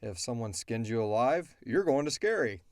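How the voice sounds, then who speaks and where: A man's voice: medium pitch, very animated, moderate pace, no room sound, clean studio sound. A man hosting a casual chat, answering questions at length with opinionated, slangy asides. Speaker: podcast host answering listener questions.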